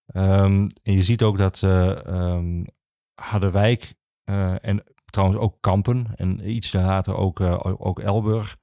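Male podcast guest, archivist explaining history; hesitant delivery, mellow tone, dry close sound. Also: low voice, level tone, creaky, no room sound. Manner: halting, hesitant, many filled pauses.

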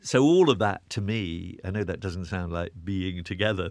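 A man's voice: deep voice, gravelly, dry recording. A British man giving an interview guest's reflective answers, often restarting and repeating words as he searches for a thought.

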